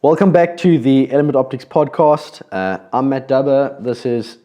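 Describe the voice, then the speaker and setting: A man's voice: mid-range voice, moderate pace, warm tone. A man from South Africa with a South African accent, hosting a podcast in a casual, conversational way with frequent restarts. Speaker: podcast host.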